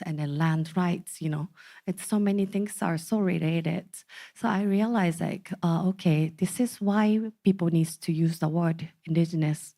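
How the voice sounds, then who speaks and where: A woman in her forties from Okinawa with a Japanese accent, giving a talk to a community gathering, halting and full of fillers. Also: deep voice, dark tone. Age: 40s. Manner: halting, many fillers and restarts. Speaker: speaker.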